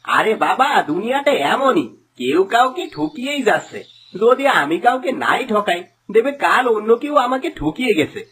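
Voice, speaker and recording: A man's voice: high voice, very animated, moderate pace, a bit bright, slightly rough, heavily acted. A man voicing a sly, smug middle-aged village swindler in a cartoon fable, with a wheedling, theatrical delivery. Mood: dismissive. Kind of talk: Bengali cartoon fable voice acting.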